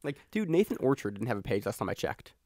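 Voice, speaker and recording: A man co-hosting a podcast, talking quickly in a lowish voice. He jokes around and cuts in over his co-host. The sound is dry and close to the mic. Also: very animated, a little mellow, dry recording. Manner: fast, joking, talks over his co-host. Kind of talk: casual podcast chat.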